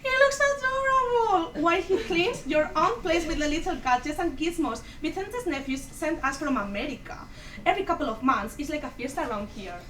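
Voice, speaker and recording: A woman's voice: sits high, lively intonation, fairly quick, fairly bright tone. A woman acting a mischievous, sociable old Cuban mother in a staged play reading. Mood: playful. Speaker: student actor in a dramatic reading. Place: echoey room at a live performance. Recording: roomy, distant mic, faint noise.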